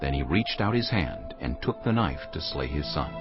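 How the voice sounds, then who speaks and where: A man narrating a dramatized Bible as a scripted story, solemn and clearly phrased. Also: extremely low pitch, sing-song, moderate pace, lo-fi sound, loud music behind the voice.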